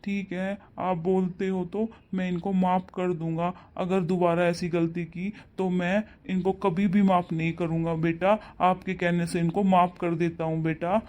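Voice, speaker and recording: A man playing a stern, high-voiced father. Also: little pitch movement, unhurried, few pauses. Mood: tender. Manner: scolding loudly, then softening to coax. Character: stern father defending his daughter. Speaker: actor in a family drama. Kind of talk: amateur family drama acting.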